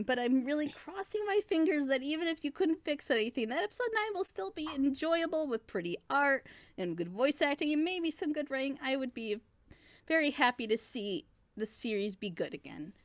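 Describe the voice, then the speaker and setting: A woman with a somewhat high voice talks frankly and at length in a casual group discussion. She is opinionated and chatty. The sound is dry, with no room around her. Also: no room sound. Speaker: reactor on a group reaction show. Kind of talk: casual group chat, giving critique.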